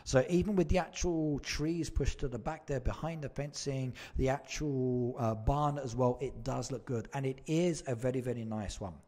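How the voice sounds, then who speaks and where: A man's voice: medium pitch, moderate pace, hardly any pauses, mellow. A man hosting a casual gaming live stream, chattily answering viewers and repeating his phrases as he goes. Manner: chatty, repeats phrases, moves between chat and game. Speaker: live stream host, gamer.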